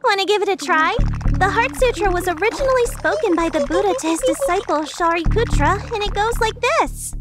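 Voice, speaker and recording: A woman's voice: extremely high pitch, lively intonation, brisk, music in the background. A woman playing a prim, serious teenage girl from a school discipline committee in an anime fandub. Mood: calm, earnest. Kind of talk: anime fandub radio play acting.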